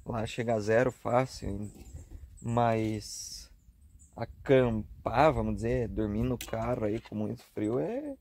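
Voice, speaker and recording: A man in his thirties from southern Brazil, with a Rio Grande do Sul accent, chatting casually for his camping vlog. He talks in a relaxed way with frequent tag questions, recorded on a camera held at arm's length at an outdoor hilltop campsite. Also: fairly low pitch, slow. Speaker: travel vlogger. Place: outdoor campsite on a grassy hilltop, earlier inside a car at night.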